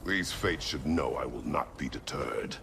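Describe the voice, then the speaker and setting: A man playing a stern, battle-worn middle-aged warrior god in a video game, speaking in terse, grave statements with a gravelly voice. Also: unhurried, a bit bright, a little hiss or hum, exaggerated voice. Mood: resolute. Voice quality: gravelly, very deep. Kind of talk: cinematic video game acting.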